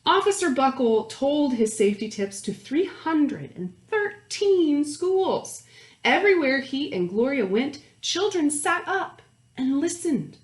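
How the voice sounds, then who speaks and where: A woman reading a picture book aloud to young children, expressively, pausing for chatty explanations and questions. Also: fairly high pitch, echoey room. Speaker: children's storytime reader.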